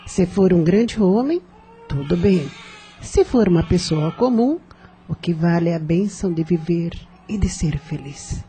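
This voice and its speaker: A woman playing a kindly, reassuring midwife in a radio play, comforting and unhurried. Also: on the low side, expressive, mellow, soft background music.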